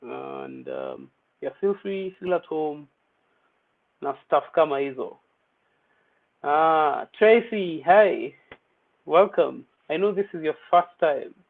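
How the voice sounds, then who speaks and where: A man's voice: on the high side, sing-song, takes it slowly, vocal fry, long pauses. A young man hosting an online poetry open mic in a chatty, playful way, mixing English with Swahili slang. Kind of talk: casual livestream hosting. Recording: tinny phone-like audio.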